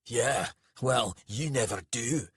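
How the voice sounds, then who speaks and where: A man's voice: medium pitch, slow pace, fairly bright tone, husky, dry recording, clean studio sound, pitched above the performer's own voice, heavily acted. A man voicing a big, fierce male brawler in a story, blunt, sweary and goading. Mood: bitter, menacing.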